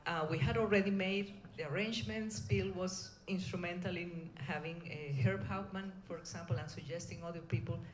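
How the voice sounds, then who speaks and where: Middle-aged female presenter, Venezuelan Spanish accent, lowish pitch, hesitant delivery, roomy conference sound. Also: fairly even intonation, normal speed, hardly any pauses. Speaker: conference speaker, scientist. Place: indoor conference room. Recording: echoey room, clear background noise.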